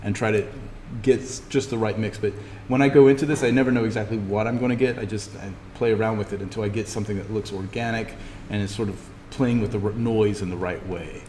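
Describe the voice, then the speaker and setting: A man teaching an informal in-class software tutorial, thinking aloud haltingly as he demonstrates. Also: fairly low pitch, steady tone. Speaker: instructor teaching 3D animation software. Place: classroom, indoors. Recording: echoey room with background noise.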